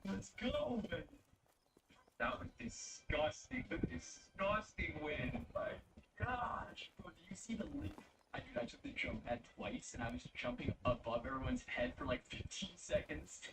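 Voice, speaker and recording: A man chatting with his teammate while gaming, excitable and quick to cheer. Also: very high-pitched, gravelly. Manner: excitable, bursts of hype. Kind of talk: casual chat while gaming. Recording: distant mic with noise.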